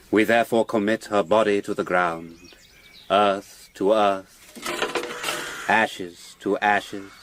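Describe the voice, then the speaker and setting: An English actor playing a solemn country parson in a radio drama, speaking in a measured, declamatory, formal manner. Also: male voice, on the low side, fairly bright tone, slight background noise. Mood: grave, formal. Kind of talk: liturgical reading in radio drama.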